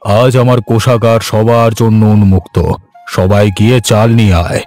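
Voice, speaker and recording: A man's voice: on the low side, steady tone, moderate pace, darkish tone, soft background music, close to an everyday voice. A man playing a haughty, middle-aged village headman in a children's cartoon, speaking in a bossy way. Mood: humbled. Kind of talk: Bengali children's cartoon voice acting.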